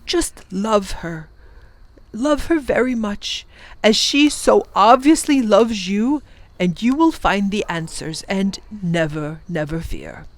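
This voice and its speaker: A woman's voice: on the high side, big pitch swings, a little slow, no echo, pitched above the performer's own voice. Give me a woman reading as a wise, reassuring elderly professor in a children's story. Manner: warm, reassuring, firm.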